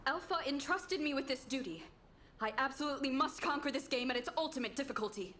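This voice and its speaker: A solemn, theatrical young woman in a video game. Her voice is high and very animated, with a bright edge. She declaims in formal, grandiose phrases, earnest and easily frustrated. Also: fast talker, a little hiss or hum. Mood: solemn determination.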